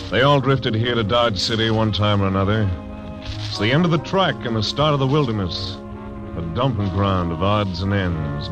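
A man narrating and playing a terse, hard-boiled frontier marshal in a 1940s radio drama. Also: lowish voice. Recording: dull old radio broadcast over music.

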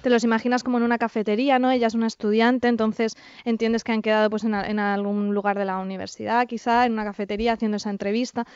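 A woman's voice: on the high side, little pitch movement, quick pace, few pauses, a little mellow, clear, resonant tone, dry recording, dull audio. A woman with a Castilian Spanish accent giving her opinions in a casual panel discussion.

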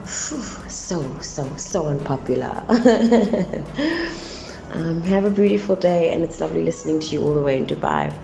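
A woman's voice: deep voice, sing-song, moderate pace, vocal fry, mellow, a little hiss or hum. A woman from South Africa calling in to a radio show, effusive and dramatic. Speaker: caller.

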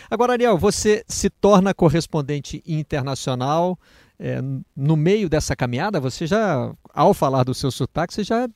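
A man from Minas Gerais with a Zona da Mata accent, interviewing in a calm, measured way. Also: mid-range voice, no room sound, clean studio sound. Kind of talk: interview questions and anecdotes.

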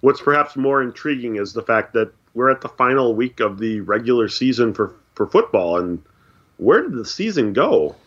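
A man co-hosting a podcast, nursing a head cold that roughens his voice. He delivers scripted sports news with deadpan sarcasm, and the recording sounds dull and lo-fi. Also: medium pitch, moderate pace. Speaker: podcast co-host. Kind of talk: scripted news segment with dry jokes.

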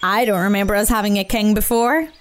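A woman's voice: mid-range voice, fairly even intonation, a little fast, a little hiss or hum, lightly acted. A woman voicing a small, nervous female rabbit who speaks hesitantly and pleadingly. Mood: wondering.

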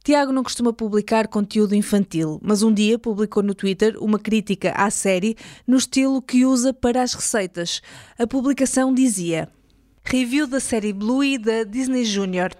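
A woman's voice: on the high side, normal speed, a little mellow, no room sound, studio quality. A woman with a European Portuguese accent hosting a podcast, reading a scripted documentary narration. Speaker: podcast host.

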